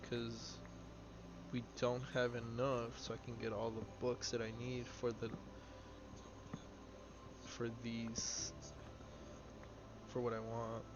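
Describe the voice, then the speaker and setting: A man's voice: mid-range voice, monotone. Young male streamer, twenties, rambling chat over gameplay, noisy lo-fi audio. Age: 20s. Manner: rambling, halting, answers viewer questions aloud. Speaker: live streamer. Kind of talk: casual chat while playing a game. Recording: dull lo-fi stream audio with noise.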